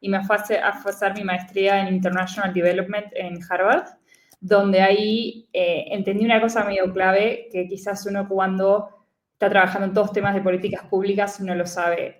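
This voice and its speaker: A woman from Argentina, speaking with an Argentine accent as a guest investor, relaxed and full of fillers. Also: mid-range voice, reverberant. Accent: Argentine Spanish. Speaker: guest, venture capital investor. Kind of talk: casual interview talk.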